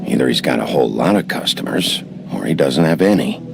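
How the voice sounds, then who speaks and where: A man playing a gruff, terse detective sheriff in a video game, blunt and dry, with a low gravelly voice. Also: lively intonation, brisk, full character voice. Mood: dry, pondering. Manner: blunt, dry, muttering to himself. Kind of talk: cinematic video game acting. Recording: game audio with music behind.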